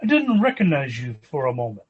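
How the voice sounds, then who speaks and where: A man's voice: mid-range voice, very animated, breathy edge, dull audio. A man playing a polite, formal upper-class dinner guest in an old-time radio sitcom style. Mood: courteous. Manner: courteous, formal, unhurried.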